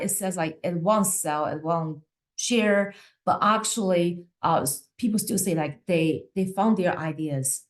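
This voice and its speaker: A woman with a somewhat low voice presents to fellow teachers over a video call. English is not her first language, and she talks in a loose, conversational way with plenty of fillers. She keeps checking in with her listeners as she goes. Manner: conversational, frequent "right?" and fillers. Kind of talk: webinar presentation.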